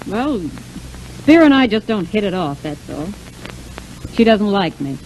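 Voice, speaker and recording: A brash young showgirl in a 1940s radio drama. She sounds defensive and scheming, in a medium-pitched voice over faint old-recording noise. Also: very animated, unhurried, a little mellow, poor audio. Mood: needling. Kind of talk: 1940s radio serial acting.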